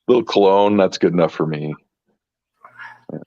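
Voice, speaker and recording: A man in his fifties with a very low voice, talking casually and haltingly about his fish tanks. He keeps restarting his sentences and hunting for words. The sound is dry and a little dull, like a modest lo-fi recording. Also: dark tone, dry recording. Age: 50s. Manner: halting, repeats words, searches for words. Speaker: fish keeper. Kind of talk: casual chat.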